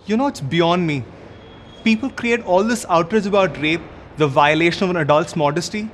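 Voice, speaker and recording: A man's voice: sits high, moderate pace, a bit bright, soft background music. An actor playing an exasperated, indignant man, arguing rhetorically in a short film monologue. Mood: outraged. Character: outraged, exasperated man arguing his point.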